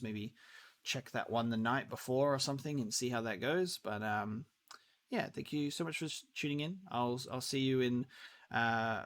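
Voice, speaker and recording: Gravelly-edged man, casual streamer chat. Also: mid-range voice, fairly bright tone. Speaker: game streamer. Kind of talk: casual livestream sign-off chat.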